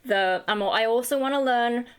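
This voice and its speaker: A young woman with a high voice, rambling tiredly in a casual vlog chat, full of fillers and self-deprecating asides. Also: moderate pace, clean tone. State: tired, running on fumes. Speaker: vlogger and singer.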